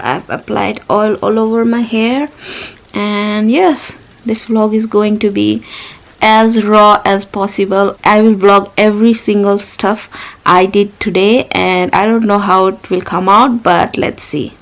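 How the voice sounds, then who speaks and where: A woman's voice: medium pitch, measured pace, gravelly, poor audio. Young woman with a Nepali mother tongue, vlogging. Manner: relaxed, chatty, talks straight to camera. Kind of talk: casual daily vlog. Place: home, indoors.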